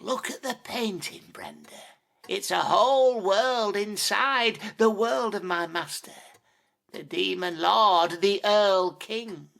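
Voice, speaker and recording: Sneaky talking cat, posh old-timey wording, sharp croaky voice, takes its time, radio play. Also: female voice, mid-range voice, takes it slowly. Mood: ominous, insistent. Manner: florid, archaic, teasing sing-song. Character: sly, theatrical talking cat, servant of a demon lord. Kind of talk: audio drama acting.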